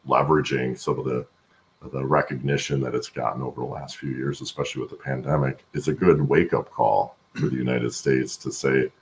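A middle-aged man with a gravelly voice answering interview questions conversationally on a video call from home, haltingly thinking aloud. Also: rumbling low, slight background noise. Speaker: guest, supply chain expert and voice-over announcer.

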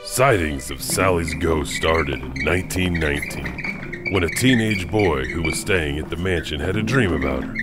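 Horror anthology host played by a man: wry storytelling janitor, lowish voice, clear music bed underneath. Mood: matter-of-fact storytelling. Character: wry janitor host telling creepy urban legends. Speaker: host and narrator. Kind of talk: scripted horror anthology narration.

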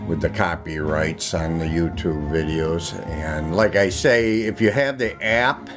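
Deep-voiced man casually demonstrating an item. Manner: plainspoken, unscripted, halting. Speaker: online seller demonstrating an item. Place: indoors, room in a home. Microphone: handheld camera, speaker off screen behind it. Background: music playing from audio equipment.

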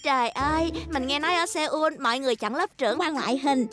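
A woman's voice: sits high, fast, over music, lightly acted. A woman voicing a spirited little schoolgirl in a children's cartoon dub. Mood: friendly, flattering. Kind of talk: animated children's cartoon dubbing.

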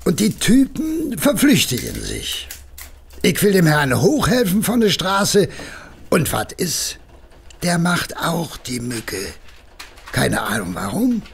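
An actor playing a cheerful, boastful, good-natured working-class husband with a Berlin accent, colloquial and wheedling, in an audio drama. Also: male voice, a little high, wildly swooping pitch, slow, vocal fry, breathy edge, heavily acted. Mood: defensive. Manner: colloquial, wheedling, hesitant storytelling. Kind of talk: German audio drama acting.

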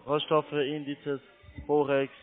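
A man's voice: fairly high pitch, completely flat delivery, takes it slowly. Non-native man on a tinny phone line. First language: not German. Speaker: phone salesman, trading call-centre agent. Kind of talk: unscripted sales phone call.

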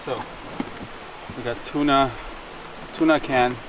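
A man's voice: mid-range voice, steady tone, slow. Young man vlogging over stream noise. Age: young adult. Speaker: vlogger, fishing and cooking channel host. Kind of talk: casual outdoor vlog narration. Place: outdoors, wading in a wooded river. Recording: outdoor recording with clear running water noise. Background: stream water and ambient noise.

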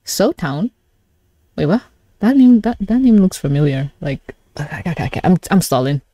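A young adult woman doing casual live reaction commentary as a streamer, expressive and given to exclamations and swearing. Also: lowish voice, normal speed.

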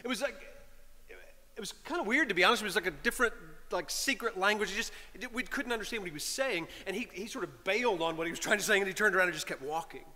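A middle-aged man, a priest, telling a story in a sermon from the pulpit, animated and shifting between loud lines and hushed whispers. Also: high voice, quick pace. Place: large stone church, speaking from a pulpit. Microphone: pulpit microphone. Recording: long church reverb.

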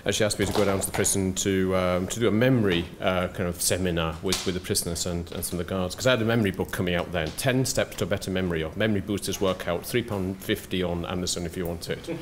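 A middle-aged soft-spoken man giving a conference lecture at a podium, hesitant with many fillers and restarts and dry asides. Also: low voice, steady tone, fast talker, hardly any pauses, slight background noise. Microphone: podium microphone.

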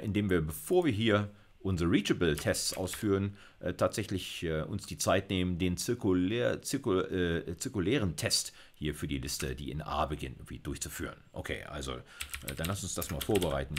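A man's voice: low voice, noisy background. A middle-aged man giving an informal computer science lecture, thinking aloud as he codes live. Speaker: university lecturer. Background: computer keyboard typing.

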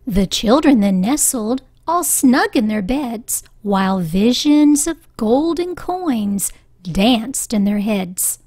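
High-voiced, unhurried woman reading a storybook. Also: dry recording. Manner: deliberate, expressive phrasing. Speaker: storybook reader. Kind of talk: children's read-aloud narration.

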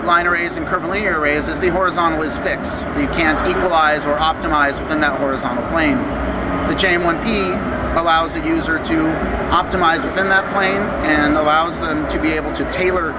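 A middle-aged man explaining a product in an informal interview, speaking in a measured, halting way. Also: a little high, fairly even intonation. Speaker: company tech support director giving product interview. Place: exhibition booth in a large indoor trade hall. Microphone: handheld or stand interview mic at chest height. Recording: loud busy trade-show hall background noise.

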